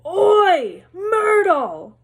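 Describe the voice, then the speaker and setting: A woman's voice: very high-pitched, big pitch swings, very slow, pitched above the performer's own voice, exaggerated voice. A woman voicing a sly, mischievous male poltergeist in a storybook, with bellowed and hissed taunts. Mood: taunting.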